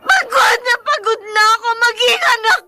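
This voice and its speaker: A woman's voice: very high voice, expressive, moderate pace, pushed and bright, no echo, shouting, full character voice. An actress playing an anguished, bitter young adult daughter of an alcoholic father in an emotional Filipino radio drama. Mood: sobbing. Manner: emotional, pleading outbursts.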